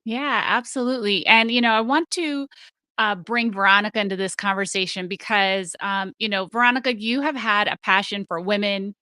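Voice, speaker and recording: A woman's voice: medium pitch, moderate pace, pushed and bright, clean tone, no room sound. A woman hosting an interview in an upbeat way, with plenty of "you know" and "um". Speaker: host.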